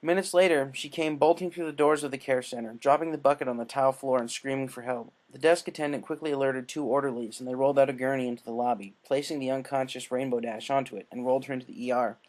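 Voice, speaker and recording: A man's voice: mid-range voice, steady tone, fast, hardly any pauses, low-quality recording. A man casually introducing and narrating fan fiction as its reader.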